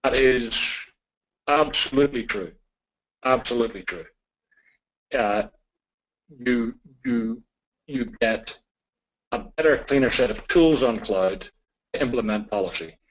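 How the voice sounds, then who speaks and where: Deliberate Irish man answering questions. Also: medium pitch, a bit bright, rough recording. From Ireland. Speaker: technology expert answering audience questions.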